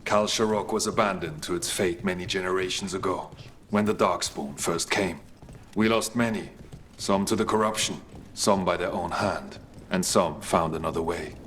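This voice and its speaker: A man voicing a solemn, guarded dwarf guide in a video game, speaking in formal, measured, cryptic phrases. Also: sits low, fairly even intonation, a bit bright, slight background noise. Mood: solemn, grave. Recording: music behind the voice. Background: game soundtrack music.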